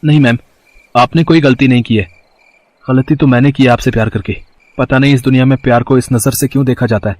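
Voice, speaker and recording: A man's voice: mid-range voice, steady tone, fairly quick, darkish tone, dry recording, natural voice. A man voicing a lovesick young student, earnest and hesitant. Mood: wounded. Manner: earnest, pleading, hesitant with frequent "uh" starts. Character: young male student infatuated with his teacher. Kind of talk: Hindi audio story acting.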